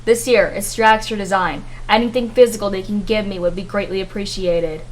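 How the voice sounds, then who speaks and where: A woman's voice: mid-range voice, steady tone, a little fast, slight background noise, natural voice, poor audio. A woman playing a smug, scheming male investor in a radio drama, speaking in a dry, self-assured, formal manner. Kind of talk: 1950s radio drama acting.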